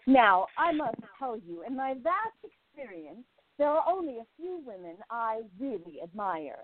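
A woman's voice: a little high, very animated, a little slow. A woman narrating and playing a relishing, gossipy society columnist in an amateur radio play. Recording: phone-like narrow sound.

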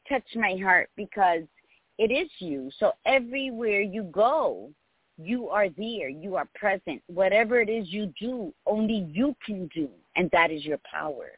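A woman's voice: medium pitch, animated, unhurried, slightly rough, no room sound. An older woman co-hosting a radio talk show, speaking reflectively and reciting a poem. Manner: warm, reflective, quotes poetry. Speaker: co-host. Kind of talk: casual radio chat. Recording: dry, phone-like line.

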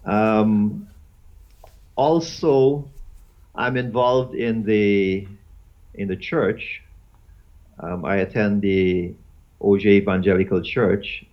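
A man in his fifties from Guyana, talking as an interview guest in a halting way with many fillers and restarts. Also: on the low side, fairly even intonation. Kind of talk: casual interview chat. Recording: dull lo-fi audio.

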